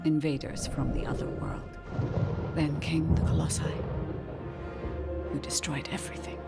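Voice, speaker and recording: A solemn, mysterious female guide from a video game, low and gravelly in tone. Her delivery is grave and measured over loud music. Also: fairly even intonation. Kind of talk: scripted dramatic game narration.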